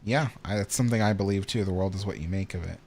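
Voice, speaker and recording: A man in his thirties with a somewhat low voice talks casually on a livestream. He rambles, restarts his sentences and fills the gaps with ums. He sounds tired and yawns now and then. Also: quick pace, creaky, a little mellow. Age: 30s. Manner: rambling, reads viewer comments aloud, many ums. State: tired, yawning. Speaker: livestream host.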